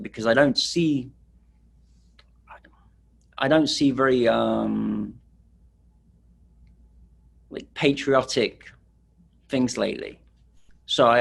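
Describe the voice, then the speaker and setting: A man's voice: mid-range voice, vocal fry, fairly bright tone. A middle-aged man from England, casually telling stories as a street photographer, rambling with fillers. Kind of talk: casual presentation.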